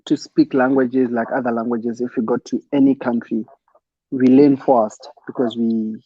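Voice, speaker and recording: A man's voice: mid-range voice, flat delivery, normal speed, no echo, dull audio. A Zimbabwean man co-hosting a casual panel chat over a video call, stammering and repeating words as he mixes in Shona. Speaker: co-host.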